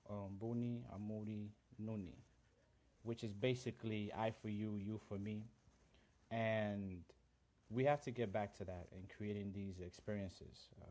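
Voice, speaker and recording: A man's voice: fairly low pitch, a little mellow. A man from Honduras whose first language is Garifuna, hosting a casual live-stream chat, earnest, greeting viewers by name and mixing in Spanish greetings. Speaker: livestream host.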